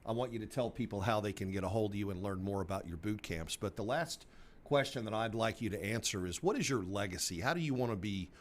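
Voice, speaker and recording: Low-voiced man hosting an interview. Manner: relaxed, clears throat, halting restarts. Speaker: podcast host. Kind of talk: podcast interview.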